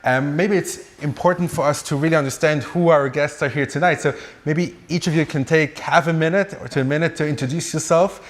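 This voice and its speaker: A man moderates a fireside chat. He welcomes his guests in a friendly, slightly hesitant way, in a fairly high voice. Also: steady tone, a little fast.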